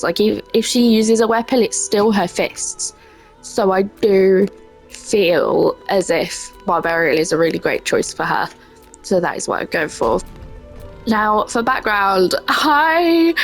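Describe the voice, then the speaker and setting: A woman's voice: medium pitch, creaky, poor audio. A young woman with a head cold and a congested voice, chatting casually and rambling a little while she makes a game character. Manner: chatty, self-deprecating, rambling with fillers. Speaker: gaming creator. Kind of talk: casual gameplay commentary.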